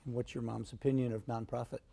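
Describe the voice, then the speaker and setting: A woman hosting an interview with a strikingly low, mellow voice. She keeps her questions short and friendly and slips in dry little quips. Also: level tone, quick pace, vocal fry. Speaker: interview host. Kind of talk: conversational interview.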